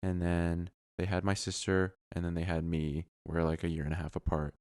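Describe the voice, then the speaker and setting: Twenties male co-host, very low voice, dry close sound, offhand delivery. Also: completely flat delivery, normal speed, a little mellow, clean tone, no echo. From Colorado, USA. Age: 20s. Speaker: podcast co-host. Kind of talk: casual chat.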